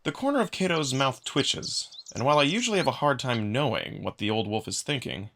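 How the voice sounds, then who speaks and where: Man, story narrator, creaky edge, bright forceful tone, medium pitch, dramatic first-person reading. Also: lively intonation, a little fast, a little hiss or hum. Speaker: narrator and voice actor.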